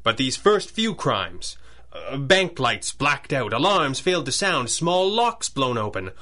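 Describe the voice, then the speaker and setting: A brooding masked hero of old radio adventure. His voice is bright and forceful, his speech deliberate and articulate, with the authority of a wealthy boss. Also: mid-range voice, big pitch swings. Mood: grave, analytical. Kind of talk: 1930s radio adventure drama acting.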